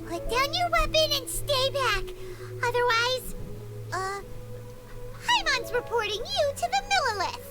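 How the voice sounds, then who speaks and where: A woman voicing a tiny, excitable, childlike companion in an anime-style video game, protective and given to squeaky exclamations. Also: measured pace, heavily acted. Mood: wary, threatening. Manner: squeaky, exclaiming, refers to herself by name. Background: loud game music.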